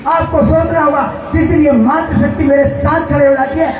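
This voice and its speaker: Man, rally orator, impassioned shouting, distant echoey PA sound, crowd noise behind. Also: very high-pitched, little pitch movement, brisk, lo-fi sound. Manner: impassioned, shouting, rhetorical questions. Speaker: rally speaker. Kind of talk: fiery political speech to a crowd. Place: outdoor rally ground. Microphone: public address mic heard from a distance. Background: crowd murmur.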